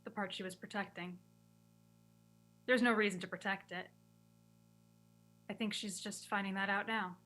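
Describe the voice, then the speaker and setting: A woman's voice: medium pitch, steady tone, normal speed, long pauses, sharp tone, faint music behind, natural voice. A woman acting a caring but firm young woman in a radio play script reading. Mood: tender, reflective.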